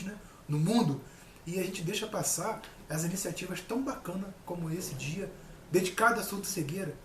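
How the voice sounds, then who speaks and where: A man, middle-aged, giving an informal talk as a lecturer, earnest and emphatic, repeating phrases for stress. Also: sits high. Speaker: university lecturer and guest speaker. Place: indoor room with people present. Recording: echoey room, distant mic, faint noise.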